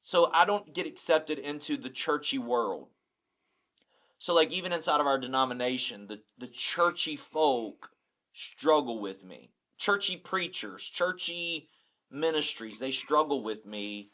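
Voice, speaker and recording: A man's voice: medium pitch, expressive, unhurried, bright, forceful tone. A middle-aged man who is a pastor, talking candidly and bluntly in a casual podcast chat, often repeating words as he starts a thought. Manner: candid, blunt, self-deprecating.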